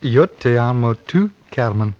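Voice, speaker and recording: An actor playing a roguish, sweet-talking Scottish prisoner in an old radio drama. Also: male voice, mid-range voice, expressive, measured pace, a little mellow, natural voice. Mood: wooing. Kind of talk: 1950s radio drama acting. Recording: muffled, noisy old radio recording.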